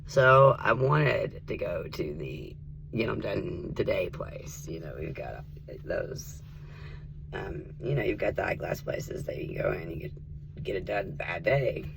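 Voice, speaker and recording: A rough-voiced man with a low, gravelly tone, sounding worn out. He talks casually and earnestly to the camera, slipping into soft whispers. Steady noise hisses underneath the recording. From Tennessee. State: rough voice needing rest. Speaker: vlogger. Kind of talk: casual spiritual vlog and storytime.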